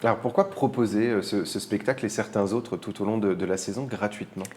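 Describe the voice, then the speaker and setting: A man's voice: lowish voice, fairly quick, a little mellow. A man with an accent from France, putting prepared questions to a guest as a radio interviewer. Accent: French from France.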